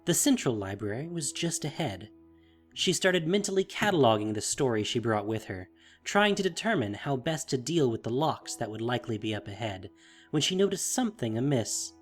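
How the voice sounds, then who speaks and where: A man's voice: medium pitch, sing-song, moderate pace, faint music behind, close to an everyday voice. A man narrating a fantasy audio drama in a dramatic, scripted style.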